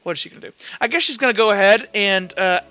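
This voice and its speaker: Man, high bright voice, game master, halting improvised narration, thinking aloud, slightly dull lo-fi audio. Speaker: game master of a tabletop roleplaying game.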